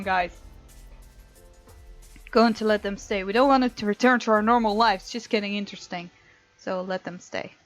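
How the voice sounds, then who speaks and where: A woman's voice: on the high side, highs cut off. A woman hosting a visual novel let's play, reading the game text aloud expressively and adding chatty reactions. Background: game music.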